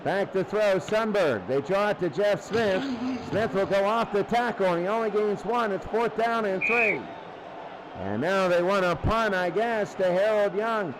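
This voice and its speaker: Guy with a pretty high voice calling a football game, informal, noisy background. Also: unhurried. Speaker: play-by-play football commentator. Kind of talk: live sports commentary. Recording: clearly noisy game broadcast.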